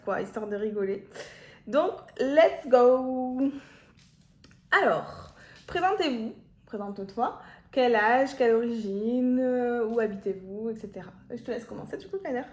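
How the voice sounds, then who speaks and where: A woman, chatting casually and playfully as she hosts a couple's Q&A vlog. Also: on the high side. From Marseille, France. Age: 20s. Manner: chatty, giggly, teasing. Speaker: vlog host, half of a couple channel. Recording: echoey room.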